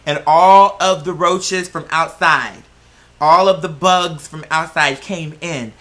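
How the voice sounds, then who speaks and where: A hushed, awestruck celebrity, as a vlogger puts them on, recalling a hard childhood. The voice drops low and slows down, halting and solemn. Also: fairly even intonation. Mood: hushed amazement. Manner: hushed, slow, halting, amazed.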